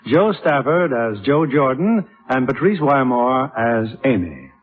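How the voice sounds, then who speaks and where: A man's voice: medium pitch, expressive, unhurried. A man hosting a drama broadcast in scripted, old-time radio announcing style. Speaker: producer and host. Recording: tinny, narrow 1950s radio broadcast.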